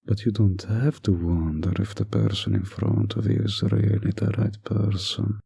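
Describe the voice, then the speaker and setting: A man playing a smug, manipulative, flirtatious villain in an intimate audio drama, coaxing with deliberate pauses in a breathy, husky voice. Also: lowish voice, warm tone, exaggerated voice. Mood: seductive, coaxing.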